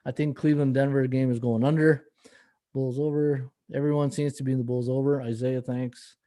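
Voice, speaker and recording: A slightly hoarse middle-aged man hosting a live sports betting podcast, rambling through stats and viewer comments with many fillers. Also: mid-range voice, level tone, a little fast, dark tone. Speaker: podcast host and sports handicapper. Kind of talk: casual live-stream betting analysis.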